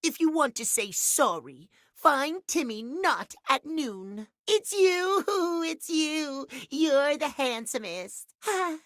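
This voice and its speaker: A man's voice: extremely high pitch, lively intonation, takes it slowly, few pauses, bright, forceful tone, no echo, clean studio sound, heavily acted. A man voicing a vain, needy, childish male cat clock in a video game, using pouty third-person baby talk. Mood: playful, smug. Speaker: voice actor. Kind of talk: video game character acting.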